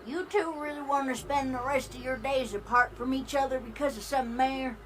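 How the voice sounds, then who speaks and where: A woman playing a wise old granny pony with an American Southern accent, telling things in a homespun, gently scolding way for a cartoon comic dub. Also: high voice, steady tone, fairly quick. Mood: wistful. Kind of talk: fan comic dub character acting. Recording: music playing behind the voice.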